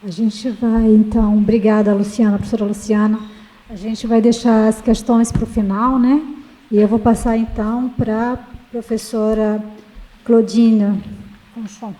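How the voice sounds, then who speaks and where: A woman with a Brazilian accent moderating a panel, reading a formal academic introduction in a halting way with self-corrections. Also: fairly high pitch, level tone, dark tone, poor audio.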